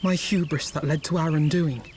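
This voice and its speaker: A man's voice: on the high side, steady tone, moderate pace, darkish tone, a little hiss or hum, natural voice. A man playing an earnest, courteous young noble scholar in a video game, with formal, old-fashioned phrasing. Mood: remorseful. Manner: formal, polite, archaic phrasing.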